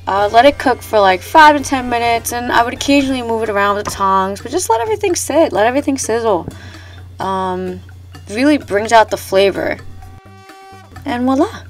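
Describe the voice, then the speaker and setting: A young woman who sounds stuffed up from a cold chats her way through a recipe in her home kitchen. She talks loosely, full of slang and filler words. Faint music plays under her voice. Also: on the high side. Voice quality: chatty, laid-back, sprinkles in slang and fillers. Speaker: cooking vlogger. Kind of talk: casual cooking tutorial.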